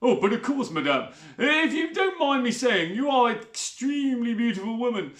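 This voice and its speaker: A smarmy, oily rat catcher, as a man voices him, fawning and lonely. His voice sits high and bright. The room is echoey. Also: sing-song, normal speed, exaggerated voice. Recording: roomy echo.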